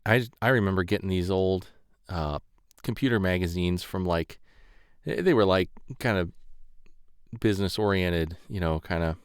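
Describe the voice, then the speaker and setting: A man's voice: deep voice, moderate pace, smooth, no echo, studio quality. A middle-aged man co-hosting a podcast, chatting casually in a rambling, self-deprecating way. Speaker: podcast co-host. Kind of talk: casual chat.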